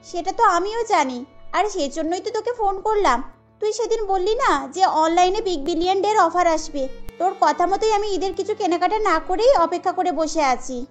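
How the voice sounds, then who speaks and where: এক নারীর অভিনয়ে গল্পবাজ, খুনসুটে তরুণী. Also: female voice, very high voice, lively intonation, normal speed, faint music behind. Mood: chatty. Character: chatty, teasing young woman friend. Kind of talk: cartoon character voice.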